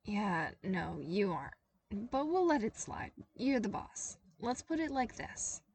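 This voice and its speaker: A woman's voice: mid-range voice, sing-song, a little slow, creaky, darkish tone, far from the mic, slight background noise. A woman voicing a tired, sarcastic young woman intern, wry and a little whiny. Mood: exasperated.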